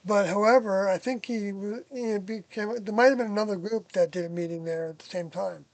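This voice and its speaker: Older interviewee recalling the past off the cuff; halting, thoughtful delivery with frequent pauses, very little room sound. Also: mid-range voice, steady tone, dry recording. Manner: hesitant, searching memory with long pauses. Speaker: interviewee, former member of a group recalling past experiences. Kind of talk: casual reminiscing.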